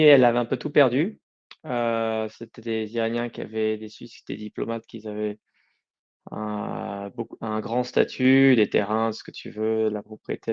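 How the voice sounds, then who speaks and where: A man's voice: mid-range voice, vocal fry, a little mellow, clean tone, lo-fi sound. A middle-aged man answering interview questions informally, often breaking off and rephrasing. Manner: informal, halting, self-correcting. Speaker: podcast guest, company founder.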